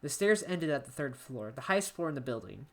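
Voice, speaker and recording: A woman reading a first-person horror story as an amateur narrator, expressive and dramatic, letting phrase endings drop to a hush. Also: deep voice, brisk. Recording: soft guitar music behind the voice. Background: plucked guitar music.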